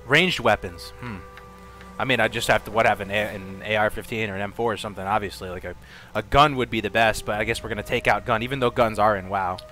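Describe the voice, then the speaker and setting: A man's voice: lowish voice, quick pace, pushed and bright, faint music behind. Slangy man chatting as a podcast co-host. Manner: informal, slangy, questioning. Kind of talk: casual gaming podcast chat.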